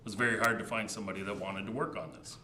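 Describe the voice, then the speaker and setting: A man councillor with a bright, forward voice explains the situation plainly and matter-of-factly. The room is echoey, with a faint background hiss. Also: lowish voice, fairly even intonation, rapid-fire. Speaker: town councillor. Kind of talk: council meeting debate.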